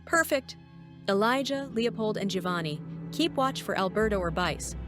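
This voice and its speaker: A woman's voice: mid-range voice, animated, normal speed, music in the background, close to an everyday voice. Woman playing a cool, lilting deadly maid. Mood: commanding. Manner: cool, pointed, commanding. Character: cold, deadly, sardonic maid who secretly kills. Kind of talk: audio drama acting.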